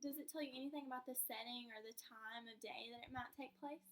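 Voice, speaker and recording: A woman's voice: a little high, steady tone, quick pace. A woman teacher giving a read-aloud lesson in an encouraging, instructive manner with guiding questions.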